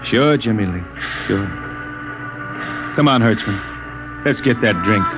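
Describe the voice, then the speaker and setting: A man's voice: lowish voice, measured pace, loud music behind the voice, lightly acted. An actor playing a cocky, insolent folksy spaceship jetman in a 1950s radio drama, with slangy, drawling talk. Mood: weary, resigned. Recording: noisy vintage radio recording.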